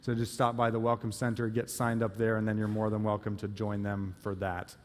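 A man, an outreach pastor, giving casual church announcements with frequent pauses and fillers. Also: lowish voice, completely flat delivery. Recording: long reverb of a church hall.

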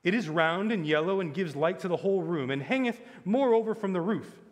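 A man's voice: fairly high pitch, very animated, brisk, long reverb tail, voice pushed up. A man playing an earnest, courtly prince in a children's fantasy audiobook, speaking with formal, archaic politeness and emphasis. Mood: coldly polite.

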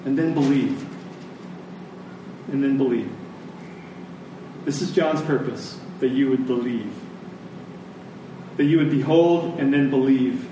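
A man preaching a sermon in an earnest, emphatic manner, repeating phrases for weight. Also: on the high side. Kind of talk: sermon, closing in prayer. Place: large echoing room, church service. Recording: echoey large room, distant, faint noise.

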